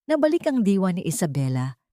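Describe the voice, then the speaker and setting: A woman's voice: fairly low pitch, very animated, fairly quick, warm tone, dry recording, clean studio sound. A woman narrating a dramatic scripted audio story in a solemn, literary manner.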